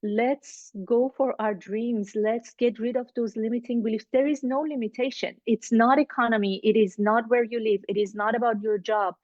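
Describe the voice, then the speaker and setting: A woman's voice: a little high, steady tone, normal speed, few pauses, a little mellow, dry recording. A woman with a Middle Eastern accent speaks earnestly as a mindset coach, repeating key words to drive them home. English is not her first language. Her voice comes through dry, muffled video-call audio. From Middle East. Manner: earnest, motivational, repeats for emphasis. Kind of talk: online networking circle pitch.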